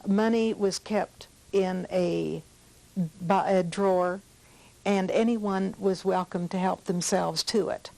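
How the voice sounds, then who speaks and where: A woman's voice: fairly low pitch, steady tone, slow, creaky, dark tone, low-quality recording. A woman giving an informal interview recollection, explaining and correcting herself as she goes. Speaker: documentary interviewee.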